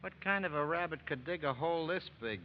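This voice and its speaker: An actor playing an eager, curious young American soldier in excitable, folksy 1940s radio drama style. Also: male voice, a little high, brisk, lightly acted. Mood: eager, coaxing. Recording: muffled, noisy vintage radio broadcast recording.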